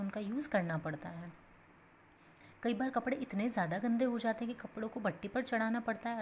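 A woman teaches a home science class, explaining each point slowly and plainly and restating it for the students. Her voice sits at a medium pitch. A faint noise runs under the recording. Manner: steady explanatory, repeats points in plain steps, mixes English terms. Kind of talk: explaining a textbook page as a lesson.

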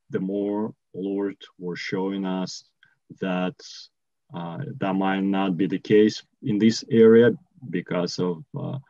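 Russian guy talking slow over a video call, low-ish voice, dull audio. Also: darkish tone, dry recording. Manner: slow, careful, many pauses and fillers. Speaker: missionary. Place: home room with bookshelves, indoors.

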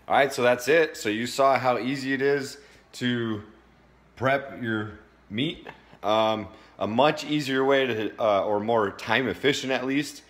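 Low-voiced man, casual and plain-spoken. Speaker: fitness vlogger. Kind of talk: casual how-to talk to camera. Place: home kitchen, indoors. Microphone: phone at arm's length.

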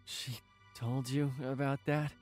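Man acting slow, hesitant worried boyfriend. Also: mid-range voice, little pitch movement, darkish tone, soft background music, close to an everyday voice. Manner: hesitant, halting. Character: worried, hesitant boyfriend searching for missing girlfriend. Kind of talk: audio drama acting.

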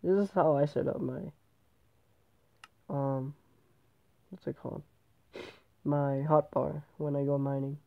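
A man's voice: fairly high pitch, fairly even intonation, slow pace, creaky, long pauses. A male gamer with a stuffy nose from a cold, rambling through casual game commentary and often correcting himself. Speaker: gameplay channel host. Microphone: tablet microphone. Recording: tinny, phone-like tablet recording.

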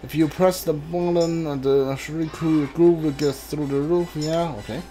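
High-pitched man doing halting gameplay commentary. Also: fairly even intonation, warm tone, poor audio. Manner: halting, mumbling to himself while reading on-screen text. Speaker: gaming streamer. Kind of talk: casual video game playthrough commentary. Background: video game music.

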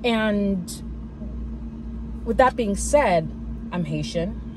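A woman's voice: mid-range voice, lively intonation, extremely slow. A woman in her twenties talking casually to camera from a parked car, reflective and full of fillers and restarts. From United States. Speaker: vlogger. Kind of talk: casual personal talk to camera. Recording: car interior with clear road noise.

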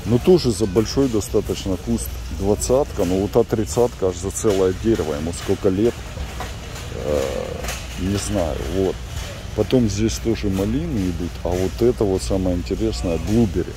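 A man's voice: low-pitched, normal speed, noisy background. A middle-aged man chatting casually to viewers while filming in a large warehouse store. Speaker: vlogger. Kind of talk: casual vlog chat while shopping. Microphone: handheld camera.

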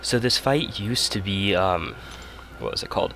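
A man's voice: lowish voice, normal speed. A young man with a stuffy nose giving casual, rambling commentary over his own gameplay. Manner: relaxed, rambling, self-correcting. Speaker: gaming channel host. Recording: voice-over with loud game music behind.

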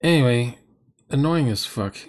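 A man's voice: mid-range voice, animated, normal speed. A middle-aged man giving mocking, off-the-cuff reaction commentary in a sarcastic, grumbling manner. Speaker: commentator reacting to a recorded tabletop game.

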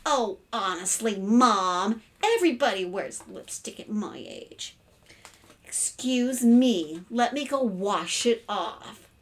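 A woman's voice: medium pitch, lively intonation, slow pace, distant mic. A woman voicing a dramatic, disgusted teenage big sister in a children's storybook, scornful and exasperated. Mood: huffy. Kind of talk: children's storybook read-aloud.

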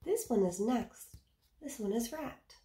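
A woman's voice: fairly high pitch, lively intonation, measured pace, mellow. A woman in her thirties reading a picture book aloud to young children as a teacher, explaining simply and putting questions to her listeners.

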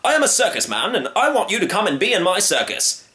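Pompous fat little circus showman, high and gushing, bright edge, roomy sound, a man puts him on. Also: quick pace, exaggerated voice. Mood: coaxing. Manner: high, excited, pitchman patter.

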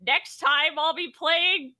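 A woman's voice: high-pitched, takes it slowly, sharp tone. A woman chatting casually as a player and variety streamer on a tabletop game show.

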